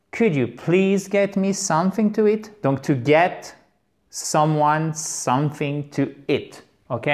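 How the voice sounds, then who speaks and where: A lively man in his thirties gives an English lesson in French at a whiteboard. He explains each point step by step and slows down to repeat English example phrases. The sound is close and clear from a lapel mic in an office room. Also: fairly high pitch. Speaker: English teacher.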